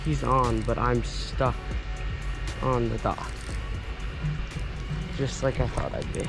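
A young American man speaks in a medium-pitched voice, chatting informally as if to buddies. He is recorded outdoors on a camera for a fishing vlog, with music clearly behind him. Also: fairly even intonation, slow pace, rough recording. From northern United States. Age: young adult. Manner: informal, slangy, addresses viewers. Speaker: fishing vlogger, host. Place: outdoors, lawns and lakeside. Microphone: handheld or body-worn action camera.

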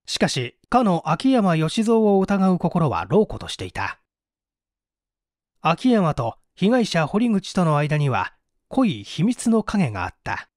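A man reading a mystery story as a narrator, in a measured, dramatic storytelling style. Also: high-pitched, huge pitch swings, a little mellow, no room sound, studio quality. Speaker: audiobook narrator.

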